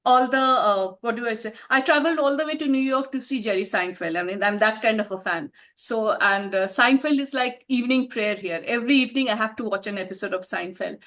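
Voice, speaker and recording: A woman's voice: on the high side, a little fast, hardly any pauses, fairly bright tone. A woman, an author answering interview questions in a reflective way and laughing often. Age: 50s.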